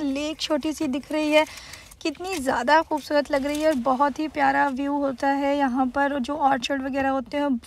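A woman from India narrating a casual vlog, chatting easily and dropping English words into her talk. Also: sits high. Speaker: vlogger.

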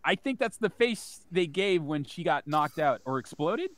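A man co-hosting a casual podcast, rambling through long animated stories with some swearing. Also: a little high, moderate pace, no room sound. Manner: rambling, animated storyteller, profane. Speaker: podcast co-host.

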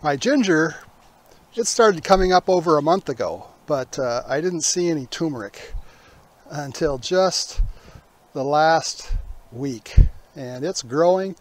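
An older man in his sixties with a slightly high-set voice. He talks casually as the host of a garden video, in a folksy, unhurried manner, recorded outdoors in a backyard. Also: animated. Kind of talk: casual garden tour talk.

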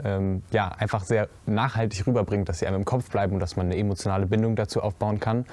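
Young guy on a panel, low mellow voice, calm and measured. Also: no room sound. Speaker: youth panelist. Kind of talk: panel discussion. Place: indoor studio set with curtains.

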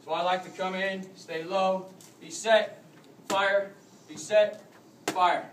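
A man's voice: high voice, steady tone, slow, slight background noise. A young American man coaching rugby technique, explaining as he demonstrates moves in a big conference room, recorded on a phone camera from across the room. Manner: explanatory, demonstrating while moving. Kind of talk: demonstration clinic. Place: large carpeted conference room with a crowd standing around. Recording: roomy echo, distant from the mic.

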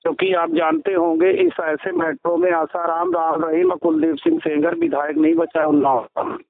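A man's voice: very high-pitched, sing-song, moderate pace, vocal fry. A man on a phone call threatening and hectoring the listener, posing as a police officer. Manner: intimidating, bullying, hectoring. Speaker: phone scammer posing as a crime branch officer. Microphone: telephone line. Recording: tinny recorded phone call, band-limited.

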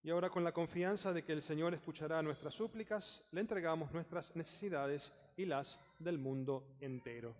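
A male priest leads formal prayer in a large church. His fairly high voice carries with a long reverberant tail. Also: normal speed, hardly any pauses. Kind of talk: liturgical recitation.

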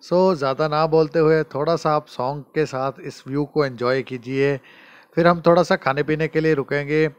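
A man's voice: fairly high pitch, steady tone, normal speed, smooth, dry recording, highs cut off. A man giving a friendly road trip voice-over, talking straight to viewers. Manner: friendly, addresses viewers directly. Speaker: travel vlogger.

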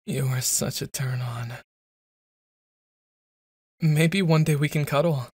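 A man's voice: on the high side, slow pace, darkish tone, breathy edge, no echo, clean studio sound. A young man performing a boyfriend ASMR roleplay, brash and teasing, stumbling into flustered stammers.

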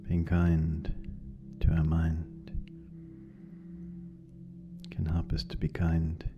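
A meditation guide speaking gently and unhurriedly, with long pauses, leading a guided meditation. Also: extremely low pitch, completely flat delivery. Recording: dull lo-fi sound, music behind. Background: meditation music.

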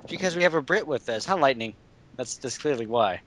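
A man from California riffing as a podcast co-host, throwing out goofy, sarcastic one-liners in casual banter. His voice sits at a middle pitch. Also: lively intonation, brisk, fairly bright tone, slight background noise. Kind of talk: joking banter.

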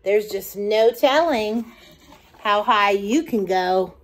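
A middle-aged woman reading a children's picture book aloud in an expressive, deliberate, sing-song way. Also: mid-range voice, poor audio. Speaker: children's storytime reader.

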